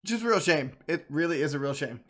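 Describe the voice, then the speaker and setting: A man's voice: fairly high pitch, expressive, fast talker, a bit bright. A man giving casual, rambling game commentary as a streamer, often repeating his phrases. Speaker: gaming streamer.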